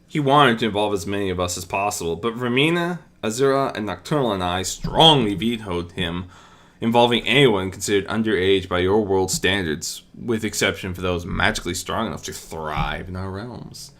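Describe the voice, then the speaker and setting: A narrator voicing a calm, regal, motherly ancient goddess of life in a story reading, formal and fond. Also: sits low, normal speed, voice pushed down. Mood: matter-of-fact. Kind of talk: amateur audiobook character reading.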